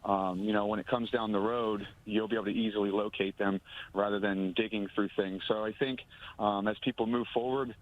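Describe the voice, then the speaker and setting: A man, a moving company owner, speaking on a phone interview, halting with many ums and restarts. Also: fairly low pitch, fairly even intonation. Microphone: phone line. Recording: phone line audio.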